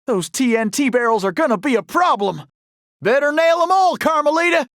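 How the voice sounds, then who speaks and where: Really high pitched cocky cowboy outlaw, thick old west southern drawl, swings all over, video game style, dry sound. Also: male voice, lively intonation, normal speed, a bit bright, dry recording, full character voice. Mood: urgent, alert. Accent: American Old West Southern drawl. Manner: folksy, exclamatory, boastful cowboy bravado. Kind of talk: animated video game character acting.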